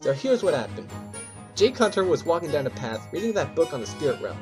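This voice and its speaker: An actor playing a cocky, cheeky gangster witness in an audio drama, brash and casual. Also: male voice, a little high, sing-song, brisk, darkish tone, soft background music. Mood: storytelling.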